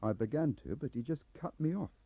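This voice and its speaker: Man playing a husky, aggrieved English clerk. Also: mid-range voice, lively intonation, brisk, dry recording, voice pushed up. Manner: rambling, confiding, defensive. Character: aggrieved, lonely middle-aged male embassy registry clerk. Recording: dull lo-fi cassette transfer.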